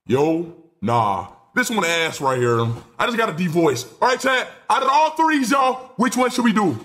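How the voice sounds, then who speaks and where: A nineteen-year-old man streaming live from a small room on a headset mic, excitable and rambling, swearing freely. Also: high voice, moderate pace, slight background noise. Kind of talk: casual live stream chat.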